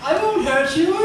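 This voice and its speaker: A man's voice: very high-pitched, heavily acted. A man playing a smug, menacingly genial proprietor in an old-time radio drama, knowing and mock-polite. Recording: very echoey, distant, noisy.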